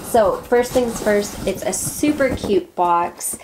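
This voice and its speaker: A woman in her forties from Canada, hoarse from the flu, chatting casually and upbeat to the camera in her beauty room, with frequent ums. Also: medium pitch, slow, background noise. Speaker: beauty vlogger. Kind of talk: casual unboxing chat to camera. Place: home beauty room with shelves behind. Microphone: camera at desk distance, head and shoulders framing.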